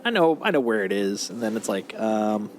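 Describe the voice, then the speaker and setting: A man's voice: fairly low pitch, expressive, normal speed, soft background music. A man giving casual gameplay commentary, thinking aloud and joking with a co-player. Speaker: game streamer. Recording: loud game and engine noise. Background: loud video game sound with vehicle noise.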